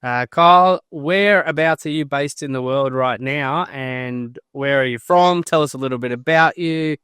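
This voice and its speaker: A man's voice: mid-range voice, a little slow, fairly bright tone, smooth, no room sound, studio quality. A man hosting a podcast interview, talking in a friendly, earnest way with a few hesitant restarts. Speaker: podcast host.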